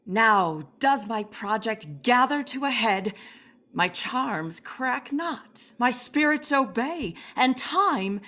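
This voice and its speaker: A woman playing a weary but commanding old magician, speaking in measured declamation in a Shakespearean radio play. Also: a little high, lively intonation, pushed and bright, heavily acted.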